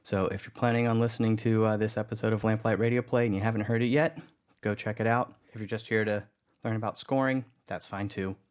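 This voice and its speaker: A man's voice: lowish voice, steady tone, quick pace, a little mellow, smooth. A man giving an informal, conversational tutorial voice-over, explaining things as a producer. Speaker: audio drama producer, tutorial presenter.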